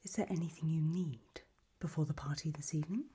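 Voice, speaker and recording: A woman playing an elegant, doting gothic lady hostess in a whispered ASMR roleplay, with a soft breath and gracious, languid manner. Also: low voice, fairly even intonation, fairly quick, slightly rough.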